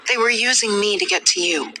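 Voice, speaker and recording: An actress playing a worried, devoted ally of a hero, anxious and pleading, in a video game cutscene. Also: female voice, mid-range voice, brisk, noisy background, close to an everyday voice.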